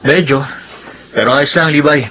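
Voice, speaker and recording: A man's voice: a little high, steady tone, normal speed, bright, forceful tone, slight background noise, low-quality recording. A man playing a supportive young village man in a radio drama, easygoing and reassuring. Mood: friendly. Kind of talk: Filipino radio drama acting.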